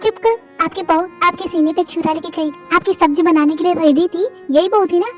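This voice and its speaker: A sharp-tongued middle-aged mother-in-law, voiced by a woman who scolds in a high, dismissive tone. She is contemptuous and impatient, with faint music behind her. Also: fairly quick. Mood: scornful.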